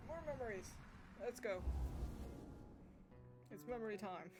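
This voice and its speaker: A woman game streamer with a medium-pitched voice chats bluntly over her play. Her reactions are casual and irreverent, with loud game music behind her. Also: lively intonation, unhurried. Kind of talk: casual gameplay reaction commentary. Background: game music and sounds.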